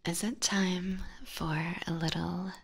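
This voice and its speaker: A woman's voice: fairly low pitch, steady tone, slow. A woman streaming whispered ASMR roleplay in a playful, teasing, coy manner, close to a binaural microphone. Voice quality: breathy, whispery. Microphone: close binaural ear microphone.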